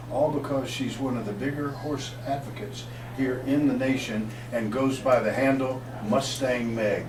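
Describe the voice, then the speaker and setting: A man hosting a community talk radio show with energetic, promotional delivery, reading out phone numbers and introducing guests. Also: medium pitch. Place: radio booth set up in a shopping mall. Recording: roomy, distant mic, noisy.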